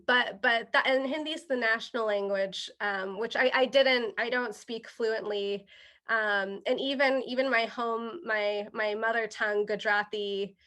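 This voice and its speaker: Bright-voiced woman chef explaining dishes. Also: a little high. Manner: relaxed, many fillers and restarts, explains terms patiently. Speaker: guest chef. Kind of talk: casual interview, explaining dishes.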